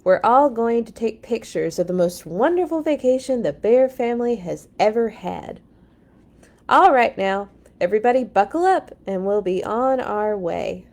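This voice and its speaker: A woman's voice: fairly high pitch, expressive, normal speed, darkish tone. Lady doing a storybook dad bear, super keen n sure of himself, kinda boastful and grand. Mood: eager. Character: enthusiastic, confident father bear in a picture book.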